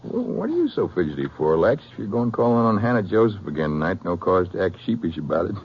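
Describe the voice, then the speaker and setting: An actor playing a domineering small-town sheriff in an old radio western, with an American accent and a folksy rural drawl. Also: male voice, deep voice, big pitch swings, quick pace. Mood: stern. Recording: muffled, noisy vintage radio recording.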